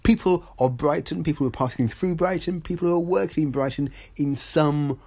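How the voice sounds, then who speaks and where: A man's voice: on the high side, fairly quick, gravelly, darkish tone. A British man co-hosting a podcast in a casual, chatty way, with wry, dry asides and wordy, hesitant phrasing that circles around. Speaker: podcast co-host.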